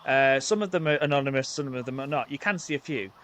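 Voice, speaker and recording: A man's voice: medium pitch, fast, fairly bright tone. A man hosting a podcast, chatting casually in a rambling, self-deprecating way with plenty of fillers. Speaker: podcast host. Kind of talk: casual chat.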